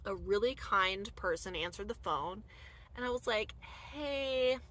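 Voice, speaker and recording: A woman in her thirties from the United States telling a personal story in a casual, animated vlog, full of "like" fillers, filmed inside a dark camper van at night. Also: medium pitch, measured pace, rough recording. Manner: chatty, animated, many "like" fillers. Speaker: vlogger.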